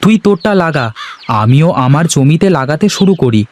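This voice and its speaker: পুরুষ কণ্ঠে প্রাণবন্ত, একটু উঁচু স্বরের গ্রাম্য কৃষক. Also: male voice, a little high, lively intonation, moderate pace, darkish tone, slight background noise, lightly acted. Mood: friendly, eager. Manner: folksy, informal village talk. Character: young village farmer, hardworking, good-natured husband and neighbour.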